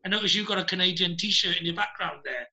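Welsh male host, high and very bright voice, rambling with many ums, video call. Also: fast, dull audio. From Wales. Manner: rambling, hesitant, many fillers and restarts. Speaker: podcast host. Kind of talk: casual video-call chat.

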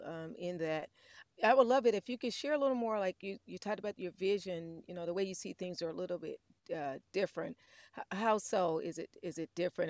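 A man's voice: sits high, dry recording. A man hosting an interview, asking questions in an eager, encouraging way. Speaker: interview host.

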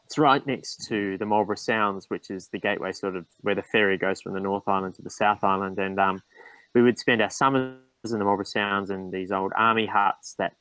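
A man's voice: fairly low pitch, fairly even intonation, brisk, fairly bright tone, no echo, poor audio. A man, a guest in a casual interview, talking with frequent ums and restarts. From New Zealand. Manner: hesitant, restarts with many ums.

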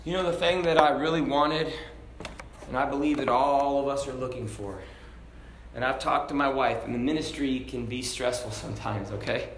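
A man's voice: mid-range voice, moderate pace, a little hiss or hum. Man preaching in an echoey church. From Hong Kong. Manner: conversational preaching, rising to shouts. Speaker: pastor. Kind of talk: sermon. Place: church sanctuary.

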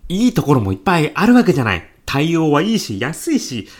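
A woman playing an easygoing, forgiving middle-aged male department manager in a comedic radio drama, with a breezy, chummy manner and a husky voice. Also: low voice, sing-song, fast talker. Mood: placating.

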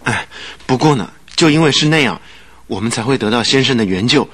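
An actor as a bright, earnest gentleman. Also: mid-range voice, animated, fairly quick, close to an everyday voice. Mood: grateful. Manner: earnest, deferential. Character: grateful, earnest American gentleman, polite and candid. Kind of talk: Mandarin radio drama acting.

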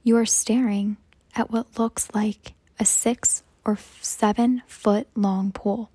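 Woman, guided meditation host, very slow pacing, even soothing tone, soft breathy voice, close dry sound. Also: mid-range voice, no room sound. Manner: hushed, soothing, measured delivery with long pauses.

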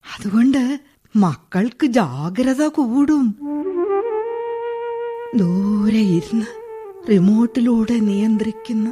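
A husky, weary old bedridden mother. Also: on the high side, mellow, faint music behind. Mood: bitter. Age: older. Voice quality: husky, slow and heavy. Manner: resigned, tearful at times. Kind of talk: radio drama acting.

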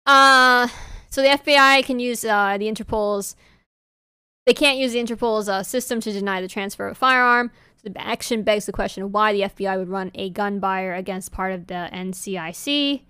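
A woman co-hosting a podcast in casual chat, English not her first language, talking in an animated, rambling way with many fillers. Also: mid-range voice, moderate pace, clean tone, no room sound, clean studio sound. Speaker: podcast co-host.

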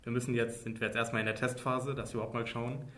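A man's voice: mid-range voice, level tone, fast talker, fairly bright tone. A man in his twenties co-hosting a casual podcast, dry and joking. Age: 20s. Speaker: podcast co-host.

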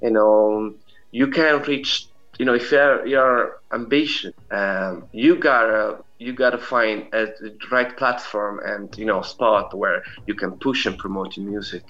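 A man's voice: lowish voice, unhurried, a bit bright, faint music behind. Middle-aged Italian man, dull lo-fi sound. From Italy. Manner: rambling, frequent "you know". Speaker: interview guest, indie musician. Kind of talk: casual conversational interview.

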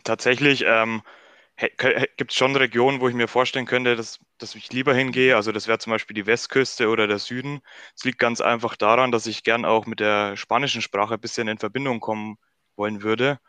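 A man's voice: mid-range voice, level tone, brisk, pushed and bright, clear, resonant tone, dry recording. A man in his early twenties from Bavaria, answering conversationally as a podcast guest. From northern Bavaria, Germany.

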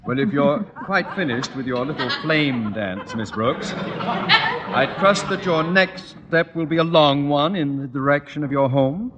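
A man's voice: fairly high pitch, big pitch swings, measured pace, dull audio. An actor playing a blustery, pompous middle-aged father in an old-time radio sitcom, theatrical and grandly sarcastic. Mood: sarcastic, irritated. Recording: noisy vintage radio recording.

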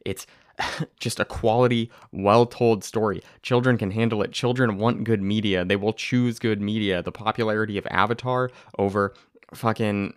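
A young man hosting a casual podcast, talking excitedly and rambling, with a tired voice. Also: on the low side, moderate pace, clear, resonant tone, no echo, studio quality. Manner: excitable, rambling, swears, laughs often. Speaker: podcast host. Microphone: close to the mic.

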